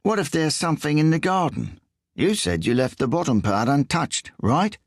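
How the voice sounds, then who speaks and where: A man's voice: on the high side, sing-song, moderate pace, dry recording, clean studio sound, voice pushed up. A man voicing a nosy, nervous burglar with an English accent and a whiny tone. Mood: prying. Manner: whiny, questioning.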